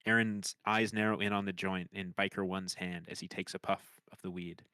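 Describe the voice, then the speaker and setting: Male narrator for comedy screenplay read: low, forceful tone, dry recording. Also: level tone, moderate pace, clear, resonant tone, no echo. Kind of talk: scripted narration of comedy stage directions.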